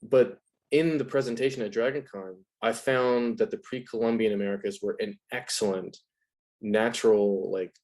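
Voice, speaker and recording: A man's voice: on the low side, fairly even intonation, normal speed, a little mellow. A man talking as an interview guest, explaining ideas in a casual, conversational way.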